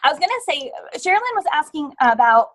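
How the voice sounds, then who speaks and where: High-pitched, chatty woman panelist. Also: sing-song. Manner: quick, chatty, lots of "like" and "um". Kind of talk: casual panel chat on a livestream.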